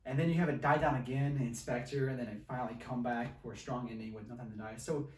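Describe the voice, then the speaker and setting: A man's voice: medium pitch, fairly even intonation, quick pace. Roomy, distant-sounding man on a podcast. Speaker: podcast host. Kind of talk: casual film discussion. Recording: roomy, distant mic, faint noise.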